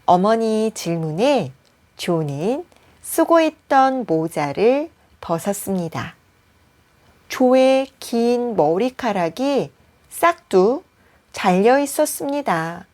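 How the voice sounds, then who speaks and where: Slow, deliberate female storybook narrator. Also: on the high side, big pitch swings, a little mellow. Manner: deliberate, careful phrasing with frequent pauses. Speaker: Korean teacher reading a story. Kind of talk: bedtime storybook narration for learners.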